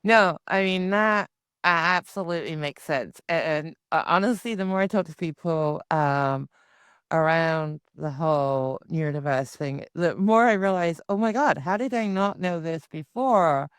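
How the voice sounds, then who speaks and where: Smooth low-voiced woman podcast host. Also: expressive, unhurried, dry recording, clean studio sound. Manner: chatty, talks through ideas aloud. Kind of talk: casual interview chat.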